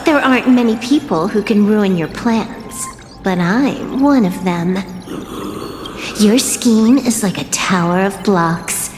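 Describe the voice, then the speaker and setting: A woman's voice: mid-range voice, animated, slow pace, background noise. An actress playing a cocky, taunting young woman hunter in a game cutscene, self-assured and scheming. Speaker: game heroine. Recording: long hall reverb with music behind.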